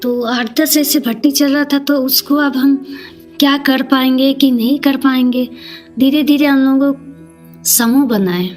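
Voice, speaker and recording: A woman with an eastern Indian regional Hindi accent shares a personal story. Her voice sits fairly high and moves very slowly, in halting, plain, colloquial phrases. Soft music plays behind her. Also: steady tone. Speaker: community leader, podcast guest.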